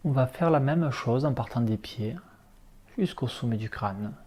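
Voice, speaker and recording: A man leading a guided relaxation meditation as an instructor, speaking calmly in short, unhurried instructions. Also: mid-range voice. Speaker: yoga nidra relaxation instructor.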